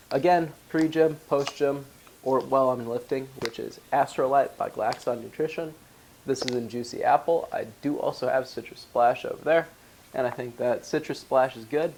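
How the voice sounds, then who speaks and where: A man's voice: mid-range voice, moderate pace, vocal fry, darkish tone, slight background noise, low-quality recording. A congested young man, slightly losing his voice, casually walking through the gear in his gym bag. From Wisconsin, USA. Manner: chatty, explains ingredient details. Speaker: powerlifting vlogger. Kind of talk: casual product walkthrough.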